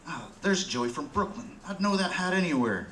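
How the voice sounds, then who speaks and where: Man acting a jittery, streetwise junkie, lively pitch. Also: normal speed. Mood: anxious, bitter. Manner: slangy, grumbling, muttering to himself. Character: jittery, streetwise, down-and-out male heroin addict and petty thief. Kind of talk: radio drama acting. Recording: roomy, with faint background noise.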